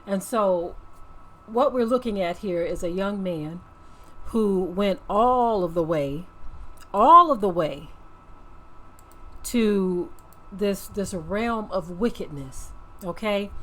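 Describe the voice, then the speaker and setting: A woman's voice: mid-range voice, expressive, slow pace, pauses often, fairly bright tone. A woman giving earnest, preachy off-the-cuff commentary as host of a religious channel, repeating phrases for emphasis and checking for agreement.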